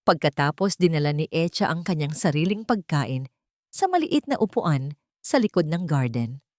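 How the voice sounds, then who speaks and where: Female narrator for scripted drama stories, slightly low pitch, dry studio-like sound. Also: a little mellow, no room sound. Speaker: story narrator.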